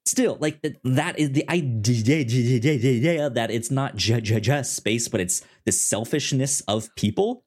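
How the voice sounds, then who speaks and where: A man co-hosting a casual film discussion, speaking haltingly with frequent stammers and repeated words, sometimes animated. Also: medium pitch. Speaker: podcast co-host.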